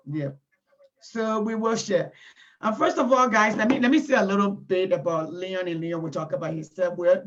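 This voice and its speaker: A middle-aged woman with a Liberian accent hosting an informal live online talk, often halting as she searches for words. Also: on the low side. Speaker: talk show host. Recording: dull, lo-fi internet stream audio.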